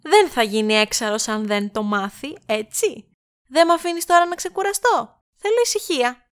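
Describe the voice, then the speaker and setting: A flighty young woman with a high voice , acted by a woman in an audio drama. She is theatrical and cheeky, rambling on with sarcasm. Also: animated. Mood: playful whining. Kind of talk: amateur audio drama acting.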